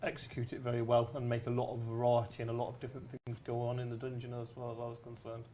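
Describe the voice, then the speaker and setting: Man, monotone, quick pace, mid pitch, casual discussion. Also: slight background noise.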